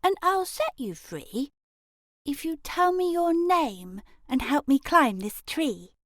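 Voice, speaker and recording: A woman's voice: high voice, sing-song, slow, fairly bright tone, no room sound, studio quality, pitched above the performer's own voice, exaggerated voice. A woman voicing a fearless, tough little girl in a storybook, cheeky and bold.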